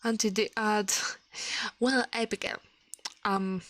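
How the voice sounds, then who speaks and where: A woman with an Italian accent speaking softly in an ASMR livestream, often whispering, close to the microphone. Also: mid-range voice, fairly even intonation, takes it slowly, dry recording. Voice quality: soft, breathy, often whispered. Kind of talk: ASMR, soft-spoken and whispered storytelling and chat.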